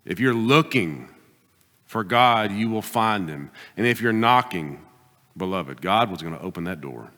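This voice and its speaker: A man preaching a sermon as pastor, pressing his points with rising bursts and then dropping to quiet, deliberate asides. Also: lowish voice, lively intonation. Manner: emphatic preaching, swings between loud exhortation and quiet asides. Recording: long hall reverb.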